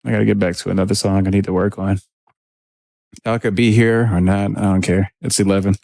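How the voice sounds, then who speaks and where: A man with a deep, gravelly voice talks in a loose, laid-back way to viewers while he makes music. His speech meanders, and he drops easy asides as he reads the comments. The sound is dry and close, with no room around it. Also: steady tone, brisk, no room sound. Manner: laid-back and rambling, with soft laughs. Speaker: music producer streaming his session. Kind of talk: casual livestream chat, reading viewer comments.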